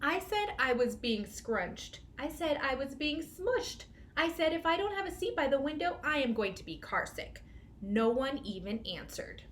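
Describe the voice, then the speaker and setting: A put-upon young storybook boy read out by a woman grumbles about his lot. The voice is fairly high, with aggrieved emphasis on the complaints. Noise is clearly heard in the recording. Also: sing-song, moderate pace.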